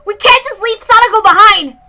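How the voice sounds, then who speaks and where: A woman's voice: very high-pitched, fairly quick, sharp tone, voice pushed up, exaggerated voice. A woman voicing an anxious, earnest young protagonist of a story, urgently pressing a friend with questions. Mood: worried insistence.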